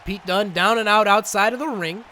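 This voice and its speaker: High, bright-voiced excitable game commentator man. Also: moderate pace, a little hiss or hum. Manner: excitable, rising to shouts at big moments. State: losing his voice, coughing. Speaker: gaming channel host and commentator. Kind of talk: play-by-play wrestling game commentary.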